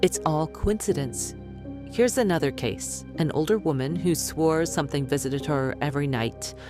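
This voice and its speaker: An actress playing a smug, dismissive doctor, clinical and condescending as a guest in a radio drama. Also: female voice, low voice, moderate pace, over music, lightly acted. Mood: lecturing. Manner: clinical, self-assured, condescending. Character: smug, dismissive female doctor and skeptic author. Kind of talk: radio interview acting.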